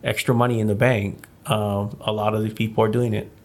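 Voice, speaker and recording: A man's voice: on the low side, flat delivery, brisk, slight background noise. A middle-aged man from Hawaii, a community group's director, talking casually as a studio guest with many fillers and restarts.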